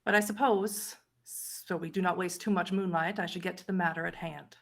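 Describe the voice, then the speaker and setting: A woman voicing a haughty vampire prince, an elegant powerful woman speaking in a formal, condescending, measured way. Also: fairly low pitch, steady tone. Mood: imperious, measured.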